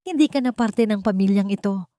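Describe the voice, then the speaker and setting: A woman's voice: mid-range voice, fairly quick, mellow, no echo, pitched above the performer's own voice, close to an everyday voice. Woman narrator doing a cruel ex-husband, icy and bossy, romance audio drama, dry sound. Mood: cold contempt. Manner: cold, commanding. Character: cold, cruel ex-husband, commanding and contemptuous man. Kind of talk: audio romance story dialogue.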